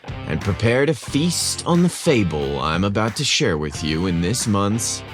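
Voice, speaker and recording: A man's voice: fairly low pitch, big pitch swings, a little slow, music in the background. A man hosting a podcast and narrating a scripted story in a teasing, suggestive style.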